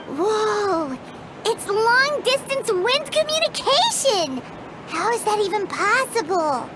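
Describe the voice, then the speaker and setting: Tiny excitable game fairy sidekick: extremely high squeaky childlike voice, swooping melody, music behind. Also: female voice, takes it slowly, heavy background noise, full character voice. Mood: alarmed, amazed. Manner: exclamatory, refers to herself by name. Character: small excitable childlike fairy companion, female. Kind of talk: video game voice acting.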